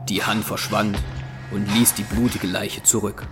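A low male voice narrates a scripted audio drama. Music plays clearly behind him as he tells the story. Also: flat delivery, a little slow.

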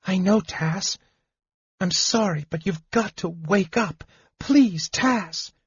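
A tender young woman speaks in a hushed, breathy plea, as a male audiobook narrator puts her on. Her voice sits high and soft, and the recording is dull and dry. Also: takes it slowly, no echo, full character voice. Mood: urgent.

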